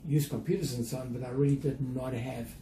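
Older south african guy being interviewed, really mellow, measured and a bit hesitant, roomy sound. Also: mid-range voice, steady tone. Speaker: interview guest, museum chief executive. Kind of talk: reflective interview conversation. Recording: roomy echo, faint background noise.

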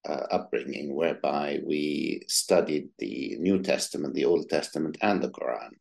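A man's voice: rumbling low, moderate pace, gravelly, darkish tone. A middle-aged man from Egypt, a psychiatrist answering interview questions with many hesitant ums and uhs. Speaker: psychiatrist guest.

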